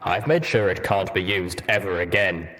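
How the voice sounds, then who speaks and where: A cold, stoic soldier pony in armour from an audiobook saga, played by a man. The voice sits low and stays measured and casual. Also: fairly bright tone. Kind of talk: dramatic audiobook character reading.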